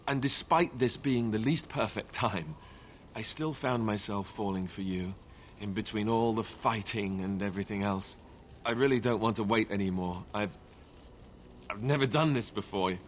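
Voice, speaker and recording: An actor playing a lovestruck young warrior in a video game, earnest and wryly self-mocking. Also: male voice, fairly low pitch, slight background noise, close to an everyday voice. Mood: tender, earnest. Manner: earnest, teasing, wryly joking. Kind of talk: video game dialogue acting.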